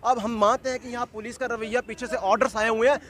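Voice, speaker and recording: A man's voice: extremely high pitch, level tone, fast, fairly bright tone. A man giving an insistent street interview, repeating phrases as he argues a political point. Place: outdoors at a street protest.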